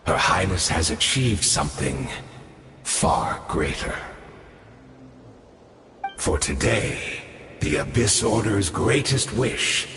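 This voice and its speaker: A man voicing a menacing, gloating herald of an abyssal order in a video game, grandiose, deliberate and condescending. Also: lowish voice, expressive, fairly bright tone, a little hiss or hum, full character voice. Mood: smug, grandiose. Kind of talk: theatrical video game villain acting. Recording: echoing hall reverb, music behind.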